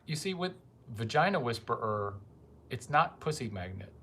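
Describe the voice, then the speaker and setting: A man's voice: mid-range voice, big pitch swings, moderate pace, pushed and bright, a little hiss or hum. A man giving a rambling, crude casual monologue full of profane asides.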